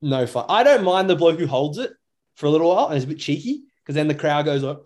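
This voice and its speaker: A man's voice: a little high, lively intonation, quick pace, a little mellow. Slangy young Australian man, rambling away. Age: young adult. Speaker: podcast co-host. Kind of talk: casual chat.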